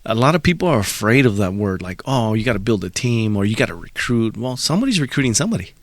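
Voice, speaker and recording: Low-voiced, easygoing man from El Salvador. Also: very animated, quick pace, no echo. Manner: easygoing, reflective storytelling. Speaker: podcast guest. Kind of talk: casual podcast conversation.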